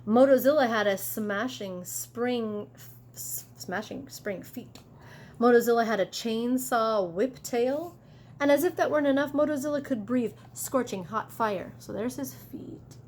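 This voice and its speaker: Unhurried higher-voiced woman reading a storybook. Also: slight background noise. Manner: animated, pausing for asides to children. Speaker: teacher reading a picture book aloud. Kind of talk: expressive children's story read-aloud.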